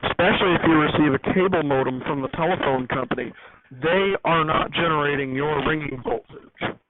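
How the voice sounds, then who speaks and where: A man's voice: on the high side, brisk. A man giving an unscripted technical walkthrough as a hobbyist host, rambling and correcting himself as he explains. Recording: noisy, tinny phone-like audio.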